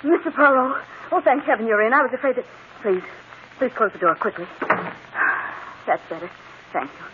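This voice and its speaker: A woman's voice: fairly high pitch, expressive, normal speed, pauses often, background noise, natural voice. Lady playing scared young woman in an old radio mystery, voice swoops up and down, tinny. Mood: anxious, breathless. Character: frightened, fretful young woman pleading for protection. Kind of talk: old-time radio drama acting.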